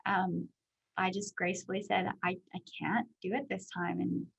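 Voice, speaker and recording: A woman in her thirties from Canada with a high voice, answering interview questions as a guest speaker in a candid, chatty way full of fillers. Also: flat delivery, moderate pace, creaky, slightly rough, rough recording. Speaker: guest speaker, company executive.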